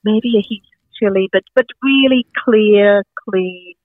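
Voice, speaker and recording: A woman's voice: mid-range voice, a little slow, no room sound. Enthusiastic middle-aged woman, Sri Lankan accent, rambling guest; dull dry lo-fi audio. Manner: enthusiastic, rambling with many fillers. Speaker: guest, market founder and farmer. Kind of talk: casual interview chat.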